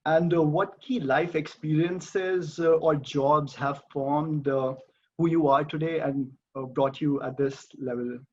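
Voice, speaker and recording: A man's voice: fairly high pitch, steady tone, a little slow, a little mellow, breathy edge. A man conducting a polite, formal interview over a video call, hesitant and deferential. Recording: noisy, dull video call.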